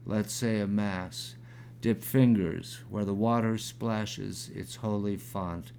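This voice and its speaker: Gravelly, slow older man in his sixties. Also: low-pitched, darkish tone, slight background noise. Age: 60s. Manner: halting with fillers when talking, measured when reciting. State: crackly, gravelly voice. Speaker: poet. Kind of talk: reading his own poem aloud.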